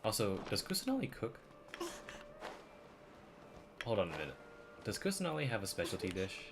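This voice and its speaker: A man's voice: on the low side, huge pitch swings, moderate pace, noisy background. A man chatting casually as he streams a video game. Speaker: live streamer. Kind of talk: casual gaming stream chat. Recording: game music behind voice. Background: video game music and dialogue.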